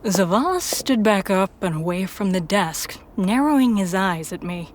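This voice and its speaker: A woman's voice: medium pitch, expressive, normal speed, slight background noise. A woman reading scripted first-person story narration for an audio drama.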